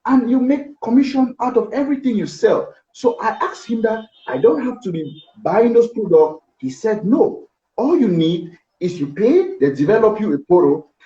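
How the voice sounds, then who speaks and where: A man's voice: high voice, warm tone. A middle-aged man with a Cameroonian accent, telling personal stories conversationally as an interview guest on a video call. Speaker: interview guest, business executive. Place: indoor office. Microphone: video call, seated back from device. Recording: echoey, dull video-call audio.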